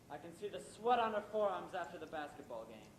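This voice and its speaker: A man's voice: big pitch swings, fast. A man playing a smug, scheming middle-aged playwright husband in a live stage play, with theatrical, sardonic delivery. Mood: mocking. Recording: echoey amateur stage recording, background rumble.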